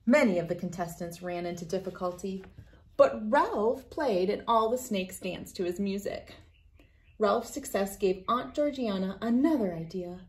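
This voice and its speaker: A woman's voice: medium pitch, lively intonation, normal speed, darkish tone. A woman in her thirties reading a picture book aloud as a children's read-aloud host. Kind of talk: storybook read-aloud narration. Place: small wood-panelled room indoors.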